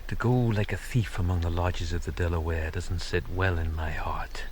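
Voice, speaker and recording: A man acting in a radio drama as a principled, plain-spoken frontier scout who talks in a steady, deliberate way. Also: deep voice, slight background noise, close to an everyday voice. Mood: reflective.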